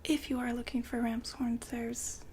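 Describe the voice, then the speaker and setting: A woman whispering softly and breathily in an ASMR roleplay, playing a gentle, attentive shop consultant. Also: fairly high pitch, little pitch movement, moderate pace. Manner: gentle, attentive customer-service patter. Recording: faint background noise and ambience.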